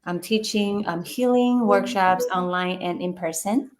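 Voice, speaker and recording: A woman's voice: medium pitch, measured pace, noisy background. A woman from Taiwan, a guest on an online interview, introducing herself hesitantly with many fillers.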